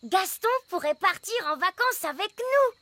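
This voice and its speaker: A woman's voice: extremely high pitch, big pitch swings, normal speed, sharp tone, slight background noise, heavily acted. A woman voicing an eager, polite little fairy-tale child in a French cartoon, earnest and chirpy. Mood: excited. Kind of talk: French cartoon dub acting.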